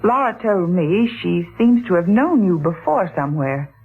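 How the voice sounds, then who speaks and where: An actress playing an inquisitive, sociable wife in a 1940s radio drama, chatty and genteel. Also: female voice, fairly low pitch, lively intonation, moderate pace, breathy edge. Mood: curious. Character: inquisitive, sociable American wife among friends. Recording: tinny vintage 1940s radio recording.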